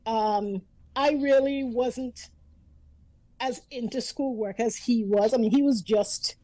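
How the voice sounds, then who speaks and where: A woman in her fifties reminisces in a chatty, storytelling way, laughing easily as she talks. Her voice sits a little high yet sounds mellow and dark in tone. The sound is close and dry, with no room around it. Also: slow, no echo, poor audio. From Antigua. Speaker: guest. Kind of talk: casual reminiscing conversation.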